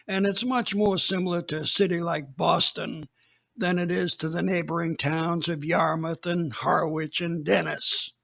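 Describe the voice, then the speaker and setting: An actor playing an 85-year-old retired police chief, who tells his story slowly with emphatic repeats. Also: high voice, fairly bright tone, full character voice. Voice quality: gravelly, drawling old voice. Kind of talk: radio drama acting.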